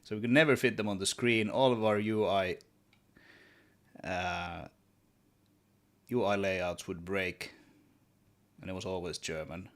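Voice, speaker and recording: A man with a Swedish accent and a deep, low voice chats casually while he works at his desk. He thinks aloud, restarting and repeating words in a relaxed, rambling way. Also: measured pace, long pauses. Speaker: solo game developer streaming. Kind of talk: casual livestream chat while working.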